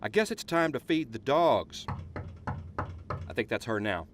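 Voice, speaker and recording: An actor playing a corrupt small-town sheriff in a horror audio drama, gruff, coarse and menacing. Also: male voice, medium pitch, very animated, a little slow, background noise. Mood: conspiratorial.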